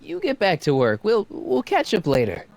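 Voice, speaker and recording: Lively skeptical teenage boy. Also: sits high, moderate pace, full character voice. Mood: casual. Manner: questioning, casual, incredulous. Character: skeptical, casual teenage boy, friend of an inventor. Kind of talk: animated video game dialogue.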